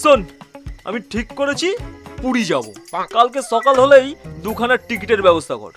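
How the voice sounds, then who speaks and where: A very high male voice blusters over loud music. It is a pompous, bossy cartoon swindler, scheming and theatrical in every line. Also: lively intonation, normal speed. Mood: flustered, bossy. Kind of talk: cartoon voice acting. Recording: loud music bed under voice.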